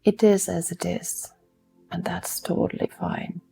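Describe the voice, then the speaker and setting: Slow, low-pitched woman guiding meditation. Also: level tone, gravelly, soft background music. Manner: soft, soothing, unhurried instruction with long pauses. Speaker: meditation guide. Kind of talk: guided mindfulness meditation.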